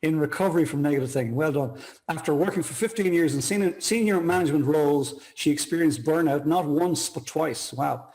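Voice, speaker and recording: A man's voice: a little high, a little fast, few pauses, darkish tone. A man hosting a casual interview chat, effusive and full of compliments. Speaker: talk show host.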